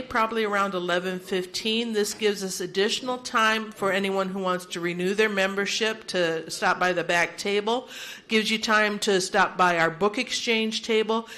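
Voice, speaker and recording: Older woman, meeting chair, bright clear tone, orderly practical delivery, handheld mic, large room. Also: mid-range voice. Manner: orderly and practical, with light self-deprecating humor. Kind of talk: meeting announcements and opening prayer. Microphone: handheld microphone.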